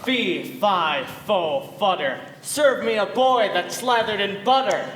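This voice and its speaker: A woman's voice: fairly high pitch, takes it slowly, fairly bright tone, a little hiss or hum. A woman voicing a mean, hungry old male giant who bellows his demands. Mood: menacing. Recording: echoey hall, live stage.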